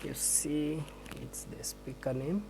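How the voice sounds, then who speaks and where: A man giving a screen-recorded tutorial on a transcription test, explaining slowly and hesitantly with many restarts. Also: fairly high pitch, creaky, warm tone, a little hiss or hum, low-quality recording.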